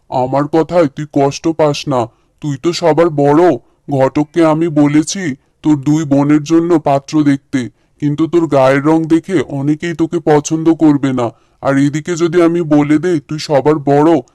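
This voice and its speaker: A worried, kindly farmer father from a cartoon, played by a man, talks in a somewhat high male voice. He explains himself in a soothing way. The sound is dry. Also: warm tone, no room sound, lightly acted. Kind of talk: Bengali cartoon dubbing.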